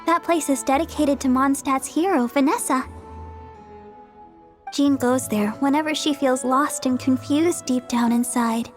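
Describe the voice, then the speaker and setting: A sweet high-voiced young healer. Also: female voice, unhurried, soft background music. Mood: caring. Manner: sweet, warm and fussing. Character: cheerful young female church healer, caring. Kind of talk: video game dialogue.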